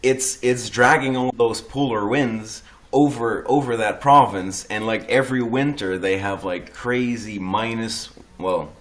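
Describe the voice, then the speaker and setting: Man, from the Netherlands, guest, casual chat, lowish voice, halting, frequent restarts, casual swearing.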